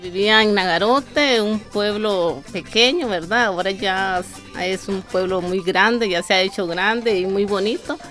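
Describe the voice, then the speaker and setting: A woman's voice: mid-range voice, measured pace, few pauses, a bit bright. A middle-aged woman with a Nicaraguan accent giving an informal personal account in an interview. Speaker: migrant interviewee. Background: music.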